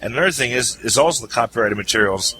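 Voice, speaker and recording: A man's voice: mid-range voice, animated, racing, noisy background. A man hosting a casual podcast panel chat, loose and jokey, laughing often. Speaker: podcast host.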